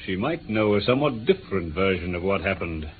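An actor playing a stolid, courteous police inspector with a British accent, matter-of-fact and deferential, in a vintage radio drama. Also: male voice, sits low, very animated, a little fast. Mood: knowing. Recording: tinny, noisy vintage radio recording.

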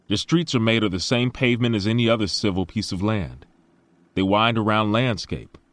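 An actor playing a self-assured, idealistic tech billionaire in an audio drama, with smooth, reflective and formally phrased speech. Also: male voice, lowish voice, no echo, close to an everyday voice.

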